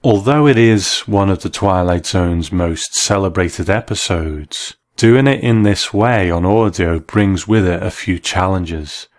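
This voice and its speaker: A man hosting a show, delivering measured, scripted commentary in the manner of an appreciative reviewer. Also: low voice. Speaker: host. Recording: faint music under the voice. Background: soft background music.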